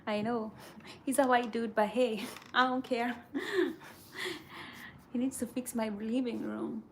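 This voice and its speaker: A woman chats casually in a soft voice with a noticeable creak. She takes her time, rambling and repeating phrases as she goes. There is slight noise behind her. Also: on the high side, takes it slowly. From Kenya. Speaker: vlogger chatting with viewers. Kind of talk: casual chat.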